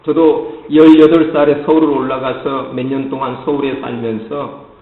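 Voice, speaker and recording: A man's voice: mid-range voice, little pitch movement, moderate pace. A male pastor from the Jeolla region of Korea with a Jeolla accent, preaching earnestly and often speaking straight to his congregation. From Jeolla, South Korea. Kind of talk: sermon. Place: church sanctuary. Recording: echoey church hall, muffled lo-fi sound.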